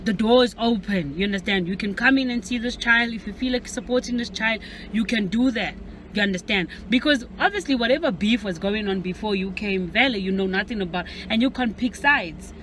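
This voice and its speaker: A man's voice: high-pitched, fairly quick, a bit bright. A South African man hosting a casual debate, rambling and often asking "you understand?". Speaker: host. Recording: noisy street traffic in background.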